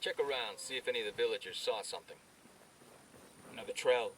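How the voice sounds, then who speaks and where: A man's voice: mid-range voice, fast talker, far from the mic, background noise, lightly acted. A man playing a wry squad soldier. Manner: wry, profane. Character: wry male special forces soldier. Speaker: squad member. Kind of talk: video game dialogue.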